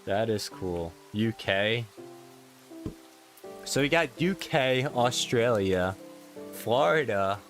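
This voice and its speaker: A man's voice: mid-range voice, expressive, slow, pauses often, fairly bright tone, faint music behind. A young man from New York, chatting casually on a game livestream and answering viewer comments in a rambling, self-correcting way. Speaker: Minecraft livestreamer. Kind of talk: casual live-stream chat reading viewer comments.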